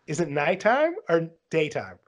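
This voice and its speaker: A man's voice: a little high, wildly swooping pitch, a little slow. A man co-hosting a casual podcast, rambling with lots of "like" and "dude" as he spins a story. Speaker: podcast co-host.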